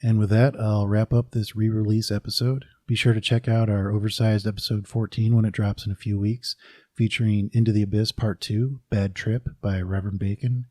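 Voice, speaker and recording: Podcast host, male: low and mellow, conversational announcer read, dry sound. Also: flat delivery, a little fast, no room sound.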